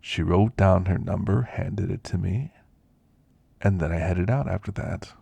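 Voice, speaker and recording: Gravelly, deep old werewolf played by a man. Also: dark tone, no echo, heavily acted. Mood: wistful, reflective. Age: older. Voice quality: gravelly, husky. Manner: folksy, unhurried reminiscing. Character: elderly male werewolf, reflective, gentle storyteller recounting his past. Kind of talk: ASMR roleplay monologue.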